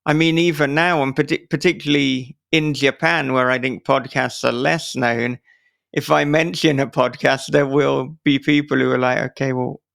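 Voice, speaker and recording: Man with a gravelly, creaky voice. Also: medium pitch, fairly even intonation, moderate pace, a bit bright, no room sound, clean studio sound. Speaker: podcast host. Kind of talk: casual interview chat.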